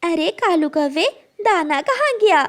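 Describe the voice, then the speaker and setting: Woman as a high, lively little cartoon bird. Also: measured pace. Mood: upset. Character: hardworking, indignant little female bird.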